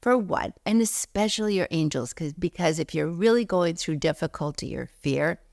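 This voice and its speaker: A middle-aged woman, a spiritual teacher, speaking in an earnest teaching talk, a little hoarse while recovering from illness, slowing down to stress words. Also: lowish voice, animated, darkish tone, no echo, clean studio sound. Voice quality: froggy, gravelly.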